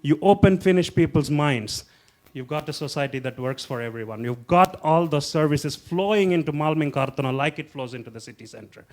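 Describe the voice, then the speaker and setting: A man's voice: on the high side, a little fast. Passionate man making a political case. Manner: passionate, emphatic, rhetorical. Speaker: city council candidate. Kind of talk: political panel speech.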